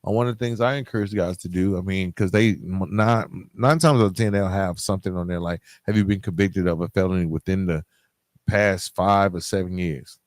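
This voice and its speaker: A man's voice: low voice, brisk, dry recording, clean studio sound. A middle-aged man hosting a podcast, talking informally and directly to his listeners in a conversational solo monologue. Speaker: podcast host.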